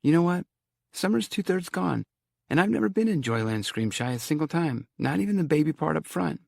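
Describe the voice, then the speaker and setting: A man's voice: fairly high pitch, lively intonation, brisk, vocal fry, darkish tone, dry recording, clean studio sound, pitched above the performer's own voice. A man voicing a playful young woman college student who teases her friends. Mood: teasing.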